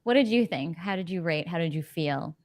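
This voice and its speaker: A woman hosting a casual book club chat over a video call, talking in an exclamatory, animated way. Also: on the low side, brisk, a little hiss or hum. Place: indoor room, video call.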